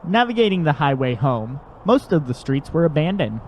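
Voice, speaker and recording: A man's voice: a little high, sing-song, normal speed, noisy background. A man narrating a scripted audio drama story.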